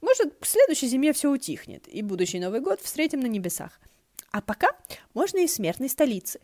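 Audiobook role: playful young god, chatty and eager, raised pitch female reader. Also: sing-song, a little fast, darkish tone, no echo. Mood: cheerful, curious. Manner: gushing, eager, full of questions. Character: bubbly, chatty, playful young man, a celestial wind deity. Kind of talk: audiobook character voice.

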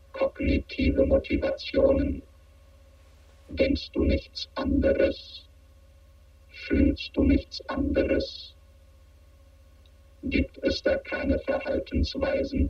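A cold alien interrogator questions insistently in a science fiction radio play. The synthetic voice is utterly monotone and very slow, in a dull, echoing recording. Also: male voice, very high-pitched, faint music behind. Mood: cold, probing. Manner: flat, clipped, insistent questioning. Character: cold emotionless alien interrogator probing human feelings. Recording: echoing, dull band-limited sound.

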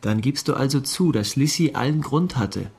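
A man's voice: mid-range voice, animated, moderate pace, vocal fry, darkish tone, husky. An actor playing a smug, argumentative young man in a radio drama, confident, insistent and matter-of-fact. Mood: cocksure. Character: cocksure young know-it-all, argumentative, smug.

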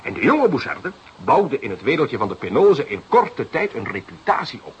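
A man acting an eager junior detective in a radio play. His mid-pitched voice swings widely as he explains with emphatic, slightly grand confidence. There is some noise on the recording. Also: big pitch swings, normal speed, close to an everyday voice. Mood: confident, theorising.

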